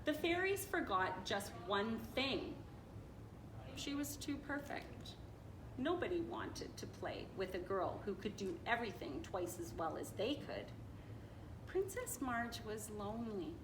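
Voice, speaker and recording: A woman reading a children's story aloud in an expressive, playful way, with casual asides. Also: medium pitch, unhurried, crowd noise. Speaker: storybook reader. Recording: echoey room with faint noise.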